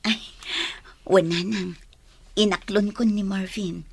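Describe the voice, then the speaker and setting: An actress playing a modest, soft-hearted young mother in a radio drama, with an Ilocano accent. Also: medium pitch, fairly even intonation, slow pace, vocal fry, husky, full character voice. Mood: modest, cheerful. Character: Rachel, modest, soft-hearted single mother being courted.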